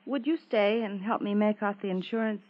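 A female actor playing a genteel, softly appealing newly widowed American wife in a mid-century radio melodrama, confiding and halting. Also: medium pitch, background noise, lightly acted. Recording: tinny, narrow-band old radio recording.

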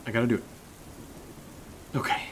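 A man's voice: moderate pace, slight background noise, natural voice. An actor playing an anxious young man who stammers and mutters to himself in an audio drama. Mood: anxious self-talk. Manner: jittery muttering, stammering, self-talk. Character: anxious, rambling young man nervously searching alone.

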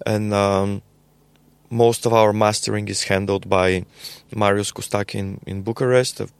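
A young adult man with a Bulgarian accent, a podcast guest talking casually in a halting way with many fillers and repeated words. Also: lowish voice, steady tone, creaky, smooth, no echo. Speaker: podcast guest, label co-founder. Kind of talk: casual conversational interview.

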